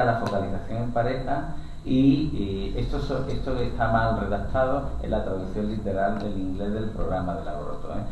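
A man's voice: on the low side, a little mellow, recorded from a distance. A middle-aged man from Spain giving a conference talk on a panel, casual and full of asides and tag questions. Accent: Spain Spanish. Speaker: conference speaker. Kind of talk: academic talk to an audience. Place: large hotel conference room. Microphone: table mic on a panel. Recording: very echoey room from afar, noisy.

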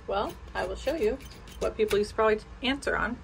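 An American woman talks in a casual, rambling vlog style, thinking aloud as she goes. Her voice sits at an average pitch. It is recorded on a handheld phone inside a house, with a little noise behind it. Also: quick pace, gravelly, low-quality recording. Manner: informal, rambling, musing aloud. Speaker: vlogger showing features of their house. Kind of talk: casual home-tour vlog chat. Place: indoors, rooms of a house. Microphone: handheld phone at arm's length. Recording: phone audio with some room noise.

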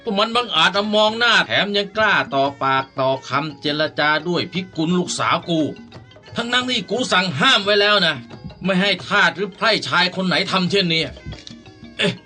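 A sharp, imperious master of the house. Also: male voice, high-pitched, animated, moderate pace, full character voice. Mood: angry, commanding. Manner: gruff, imperious, menacing. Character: stern, possessive noble father and slave master, quick to threaten. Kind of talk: radio drama acting. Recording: studio radio drama with music.

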